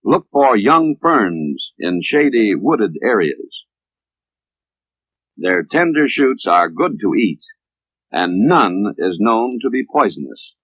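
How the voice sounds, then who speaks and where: An American man narrating a scripted 1950s instructional training film in a measured, didactic, formal manner, on an old film soundtrack. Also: fairly low pitch, sing-song, no room sound. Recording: tinny, band-limited 1950s film soundtrack.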